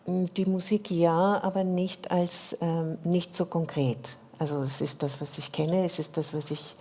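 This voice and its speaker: Woman, French native speaking German, very low mellow voice, calm and reflective. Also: a little slow. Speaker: panel guest. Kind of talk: panel discussion.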